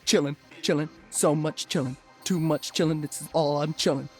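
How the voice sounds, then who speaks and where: An actor playing an upbeat, laid-back young musician hero in a video game, with a playful, breezy manner. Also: male voice, fairly high pitch, steady tone, normal speed, faint music behind, natural voice. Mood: chilled, playful.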